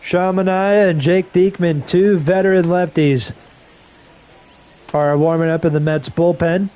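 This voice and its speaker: A slightly hoarse man on a headset mic giving casual play-by-play commentary, with relaxed, rambling asides between calls. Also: high-pitched, a little slow, dull audio. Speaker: streamer doing play-by-play.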